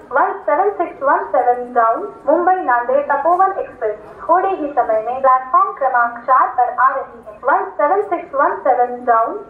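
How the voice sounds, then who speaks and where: A woman with an Indian accent making formal, measured railway station announcements in a formulaic, word-by-word delivery. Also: high voice. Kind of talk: formulaic station announcement, repeated in several languages. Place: railway station, over loudspeakers. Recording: echoey, tinny public-address loudspeaker.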